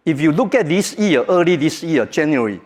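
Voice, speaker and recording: Taiwanese-accented guy giving a keynote, kinda high voice, jokey, big echoey hall. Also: animated. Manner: joking, halting, non-native phrasing. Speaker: keynote speaker. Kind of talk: conference keynote talk. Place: large conference hall. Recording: reverberant hall.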